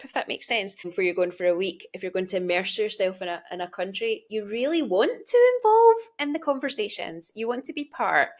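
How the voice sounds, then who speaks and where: A talkative woman with a Glasgow Scottish accent, explaining her points conversationally as an interview host. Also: mid-range voice. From Scotland.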